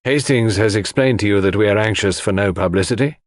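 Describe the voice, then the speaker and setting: A man voicing a weary, anxious upper-class country gentleman in an audiobook, polite and hesitant. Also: on the low side, brisk, no echo, clean studio sound, lightly acted. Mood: weary, worried. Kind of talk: audiobook character acting.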